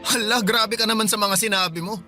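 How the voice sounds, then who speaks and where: An actor with a Filipino accent playing a whiny, defensive younger brother of about twenty in a radio drama. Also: male voice, high voice, fairly even intonation, brisk, sharp tone, faint music behind. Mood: defensive, upset. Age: young adult. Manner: whining, petulant.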